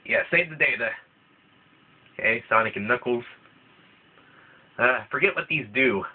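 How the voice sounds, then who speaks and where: Male let's-player, rambling, a bit stuffy from a cold; mid-pitched, lo-fi tinny recording. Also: animated, a little slow, breathy edge. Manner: rambling, with frequent restarts. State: voice thickened by a cold. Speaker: let's play gamer. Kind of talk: casual gameplay commentary. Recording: tinny, phone-like lo-fi mic. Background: video game music playing.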